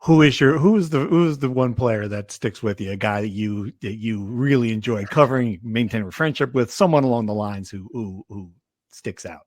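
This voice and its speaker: A man's voice: on the low side, moderate pace, a little mellow, dry recording. Dry-recorded man hosting casual sports chat. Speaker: sports podcast host, interviewer.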